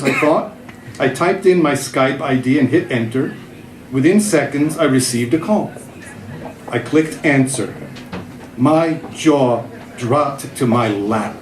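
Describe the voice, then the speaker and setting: A man's voice: medium pitch, slight background noise. Man, middle-aged, wry self-mocking storyteller, reading his column aloud, echoey venue. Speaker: writer and former cartoonist. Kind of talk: reading his humorous column aloud at a live literary event. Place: literary festival venue. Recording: echoey room.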